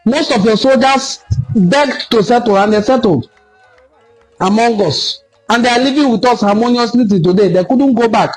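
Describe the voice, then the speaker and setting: A Nigerian man giving an impassioned solo commentary, lecturing forcefully and repeating points for emphasis. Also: extremely high pitch, moderate pace, soft background music, low-quality recording. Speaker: online cultural commentator.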